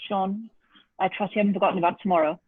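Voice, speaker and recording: A woman's voice: mid-range voice, fairly even intonation, fast, slightly rough, dry recording, lightly acted. Through narrow, dry lo-fi audio comes a stern middle-aged stepfather, played by a woman at a table read. The delivery is formal and clipped, full of stiff reproach. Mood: stern, reproachful. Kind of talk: informal at-home script table read.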